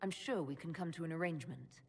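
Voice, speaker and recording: Composed low-voiced mage leader. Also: female voice, fast, husky. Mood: guarded, determined. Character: composed, direct young female mage leader. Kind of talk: video game cutscene acting.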